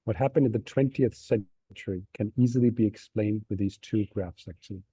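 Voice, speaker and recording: A middle-aged man from Denmark giving a relaxed, explanatory webinar presentation over a video call. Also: on the low side, a little fast, breathy edge, no room sound. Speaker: nonprofit executive director giving a talk. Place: seated on stairs of a train station.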